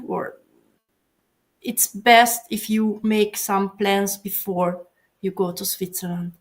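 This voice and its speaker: A woman speaking English as an interview guest. She talks haltingly, with many filler pauses. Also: mid-range voice. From Hungary. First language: Hungarian. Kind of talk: casual interview answers.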